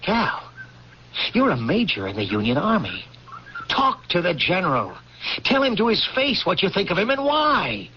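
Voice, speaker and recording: A man's voice: sits high, huge pitch swings, normal speed. Earnest newspaperman with swooping delivery. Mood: urgent exhortation. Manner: urgent, exhorting, emphatic. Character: earnest newspaperman urging on his old army friend. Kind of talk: old-time radio drama acting. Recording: muffled, noisy old radio drama tape.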